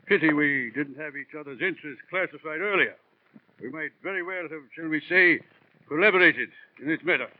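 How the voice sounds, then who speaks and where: A man playing a pompous, smooth-talking middle-aged London investigator in florid, theatrical 1940s radio drama style. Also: fairly high pitch, a little fast, dry recording, close to an everyday voice. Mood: amused, grandiose. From England. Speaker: actor in a radio detective drama. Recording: noisy, dull vintage radio recording.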